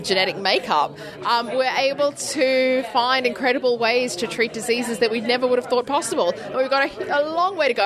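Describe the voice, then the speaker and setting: An Australian woman speaking as a guest in a casual interview, thoughtful and articulate. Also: medium pitch. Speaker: interview guest, clinical trials health professional. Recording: busy crowd chatter behind. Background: crowd chatter.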